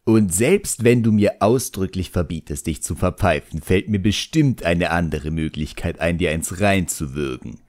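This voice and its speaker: A man's voice: low voice, normal speed, few pauses, darkish tone, close to an everyday voice. A man voicing a sardonic, cunning ancient djinn who grumbles and mocks, in an audiobook. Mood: sly, threatening. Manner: sarcastic, grumbling. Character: sardonic, ancient male djinn, cunning, grudgingly obedient servant.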